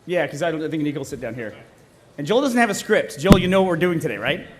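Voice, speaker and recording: Higher-voiced man hosting a live event. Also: lively intonation, quick pace. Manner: playful, teasing. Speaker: host of a live table read. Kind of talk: live stage hosting with audience banter. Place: on stage before a live audience. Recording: live stage PA with clear background noise.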